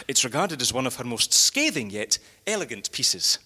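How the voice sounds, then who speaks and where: An eager library curator, a bookish man with a bright, keen-edged voice. He is fussy and hesitant but full of enthusiasm, his intonation leaping about. The live comedy stage has a long hall reverb. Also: mid-range voice, very animated, normal speed, husky, exaggerated voice. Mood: admiring. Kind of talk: live audio comedy acting.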